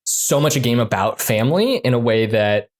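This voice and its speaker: A man who designs games, talking casually in an interview, full of fillers and quick restarts. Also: medium pitch, animated. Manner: chatty, many fillers and restarts. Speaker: game designer guest.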